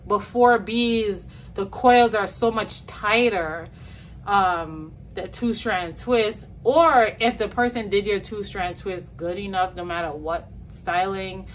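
Slangy, unhurried young woman vlogger. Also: medium pitch, a little hiss or hum, low-quality recording. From New York. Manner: chatty, slangy, lively greetings and playful asides. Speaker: hair vlogger. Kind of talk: casual chat to camera. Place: small bedroom, indoors.

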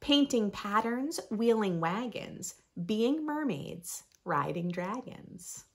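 A woman in her thirties reading aloud expressively for young children, with playful emphasis and deliberate phrasing. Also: medium pitch, expressive, breathy edge. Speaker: host of a children's read-aloud channel. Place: small furnished room indoors.

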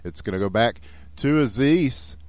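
A man with a Texan accent doing play-by-play commentary of a video game hockey match, getting excited and shouting on goals. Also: medium pitch, unhurried, dry recording. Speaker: esports caster.

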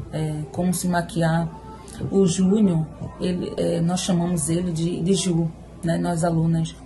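A woman's voice: lowish voice, mellow. A woman with a Pernambuco accent giving a selfie video testimonial, hesitating with fillers and restarts. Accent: Pernambuco Brazilian Portuguese. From Recife, Brazil. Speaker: course student giving a testimonial. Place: indoors, home room. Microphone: phone at arm's length.

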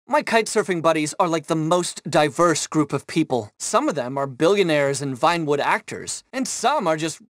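Actor as a high-voiced smug tech mogul. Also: male voice, lively intonation, moderate pace, few pauses, no echo, studio quality, close to an everyday voice. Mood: breezy bragging. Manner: breezy, self-important, assured. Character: smug, self-assured rich tech mogul commanding a mission. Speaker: video game character. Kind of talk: game mission dialogue over comms.

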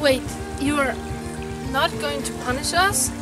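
Young woman as earnest lost girl in a fairytale, high voice, kinda hesitant, amateur film. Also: takes it slowly, music in the background. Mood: uncertain. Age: young adult. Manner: hesitant, questioning. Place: outdoors in woodland.

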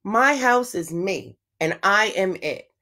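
A woman reading aloud the part of a calm, contented, unconventional man in a storybook, measured and unbothered. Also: medium pitch. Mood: contented, assured.

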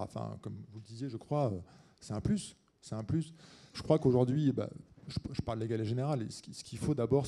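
Middle-aged man, executive on a conference panel, very mellow tone, medium pitch. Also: gravelly. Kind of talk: panel discussion. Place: conference auditorium stage. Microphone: handheld microphone.

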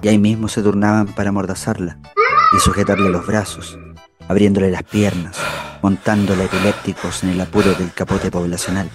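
Very low-pitched grave male storyteller voiced by an actor. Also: little pitch movement, brisk, close to an everyday voice. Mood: grave, indignant. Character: grave, indignant male storyteller witnessing a crime. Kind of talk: literary dramatic narration. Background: music.

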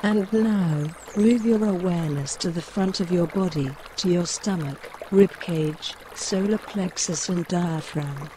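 A woman gently guiding a mindfulness meditation in measured short phrases, with pauses between them. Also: fairly low pitch, a little hiss or hum. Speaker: meditation guide. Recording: clear running stream water behind the voice. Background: trickling woodland stream.

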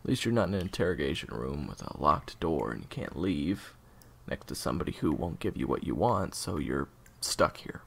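A man's voice: extremely low pitch, very animated, normal speed, gravelly, slightly rough, exaggerated voice. A man playing a weary, evasive assassin in an audio roleplay, talking in a dry, hesitant, sarcastic way. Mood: irritated, sarcastic. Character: sardonic male assassin captor, weary and evasive.

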